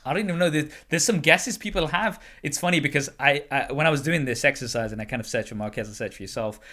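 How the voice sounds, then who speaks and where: A man in his twenties hosting a podcast interview, relaxed, often trailing off quietly and chuckling. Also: on the high side, fast talker. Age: 20s. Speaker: podcast host. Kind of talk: casual interview.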